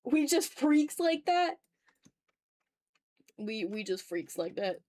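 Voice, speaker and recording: A woman with a fairly high voice, recorded close and dry, hosts a casual drawing stream. She thinks out loud and banters with her viewers, playful and teasing, with a streak of mock exasperation. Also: very animated, slow pace, no echo. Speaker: art streamer. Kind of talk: casual livestream chat while drawing.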